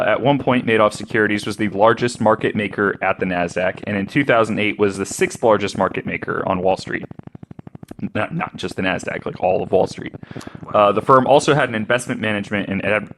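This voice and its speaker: A man's voice: on the low side, a bit bright, a little hiss or hum. A man hosting a podcast, reading researched notes aloud in an informal, conversational way. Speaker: podcast host.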